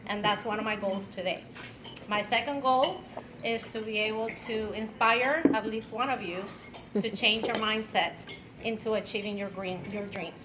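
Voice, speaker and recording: A woman, telling her personal story at an event in an unhurried way with fillers and light laughs. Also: medium pitch, background noise. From Venezuela. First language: Spanish. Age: 50s. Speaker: speaker at an event. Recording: clattering dishes and cutlery behind.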